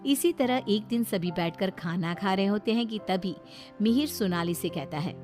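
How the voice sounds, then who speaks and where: A woman's voice: medium pitch, moderate pace, a little mellow, faint music behind. A woman reading scripted narration for a moral story.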